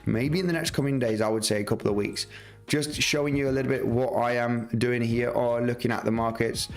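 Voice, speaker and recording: A man with a gravelly, slightly rough voice hosts a live trading stream. He talks loosely through his charts, full of fillers and restarts. Faint music plays softly behind him. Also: mid-range voice, steady tone, brisk. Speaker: market analysis livestream host.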